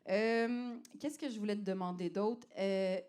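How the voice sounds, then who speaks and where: A woman's voice: medium pitch, a little slow, darkish tone, clean tone. A woman in her forties with a mixed French and Québécois accent, hosting a casual podcast chat in a playful, teasing way. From half French, half Québécois. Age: 40s. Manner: playful, teasing, laughs often. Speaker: podcast host.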